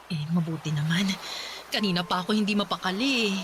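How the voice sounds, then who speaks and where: A woman's voice: fairly low pitch, fast talker, slight background noise, natural voice. A woman acting a worried middle-aged mother with a Filipino accent in a fretful, emotive Tagalog radio drama. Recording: music behind the voice.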